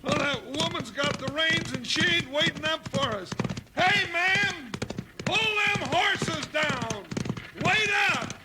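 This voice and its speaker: An actor playing a folksy, excitable comic cowboy sidekick with a gravelly high voice and an American Western accent in a 1950s radio western. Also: male voice. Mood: alarmed. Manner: folksy, drawn-out Western talk. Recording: noisy old 1950s radio recording.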